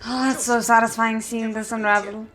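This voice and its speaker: A woman giving casual reaction commentary as a host, thinking aloud with expressive exclamations. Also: fairly high pitch, normal speed. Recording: noticeable background noise.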